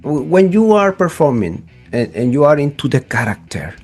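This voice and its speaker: A man's voice: on the high side, sing-song, moderate pace, a little mellow, soft background music. A man interviewing a singer, speaking as a with enthusiastic, eager questions. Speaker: interviewer, show host. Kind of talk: casual interview conversation.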